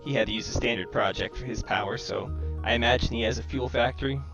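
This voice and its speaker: A man's voice: medium pitch, fast talker, vocal fry, dull audio, over music. A man giving casual game commentary, deliberating aloud to himself through a microphone that distorts his voice to sound robotic. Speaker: gamer commentating own match. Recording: robotic, glitchy home microphone.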